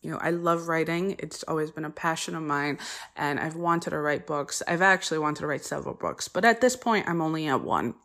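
A woman's voice: deep voice, a little fast, creaky, a bit bright. A woman hosting her own podcast, talking earnestly and chattily with frequent ums and detours. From United States. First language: Polish. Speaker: podcast host. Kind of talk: solo podcast introduction.

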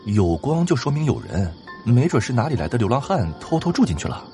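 A man's voice: medium pitch, sing-song, a little fast, warm tone, soft background music. A man playing a curious, easily startled patrol officer in an audio drama, inquisitive and exclaiming.

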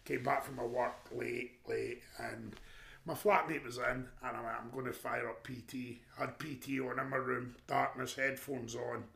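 A man with a deep voice telling stories in a rambling, animated way during a casual chat. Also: moderate pace, creaky. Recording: echoey room.

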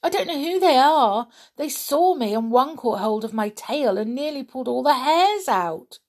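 A woman voicing a small, shy, excitable male rabbit in a storybook reading, with a high squeaky pleading voice. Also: normal speed. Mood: frightened.

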